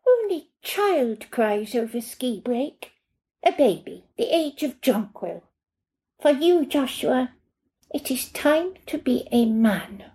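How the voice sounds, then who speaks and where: A woman's voice: high-pitched, big pitch swings, slow, mellow, voice pushed up, exaggerated voice. A woman voicing a stiff, prim housekeeper who speaks formal, slightly stilted English. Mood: stern.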